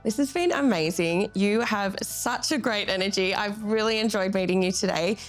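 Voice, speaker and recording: Bubbly woman interviewer, mid-pitched voice. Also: moderate pace, faint music behind. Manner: bubbly, encouraging. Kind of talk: casual chat interview.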